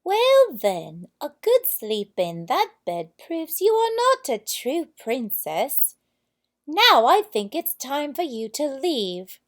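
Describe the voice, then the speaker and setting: A woman's voice: high-pitched, sing-song, slow pace, pitched above the performer's own voice, heavily acted. A woman voicing a shrewd queen mother in a fairy tale, emphatic and regal in manner. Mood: dismissive.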